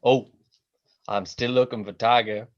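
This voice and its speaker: A man's voice: on the low side, steady tone, a little slow, background noise, natural voice. A man playing a young grandson confiding in his ghost grandmother, in an amateur radio play with dull sound. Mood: urgent, conspiratorial.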